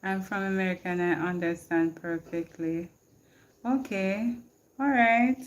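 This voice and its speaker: Jamaican woman, live-stream host, unhurried talk, reads viewer comments and laughs, phone mic. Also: mid-range voice, dull audio. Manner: reads viewer comments aloud and answers them, laughs often. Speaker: livestream host. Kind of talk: casual live-stream chat.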